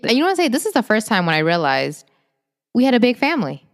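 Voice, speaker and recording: A young adult woman co-hosting a podcast, reflecting on family memories in an unhurried, casual way. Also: a little high, big pitch swings, in a large hall. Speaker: podcast co-host. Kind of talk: casual chat.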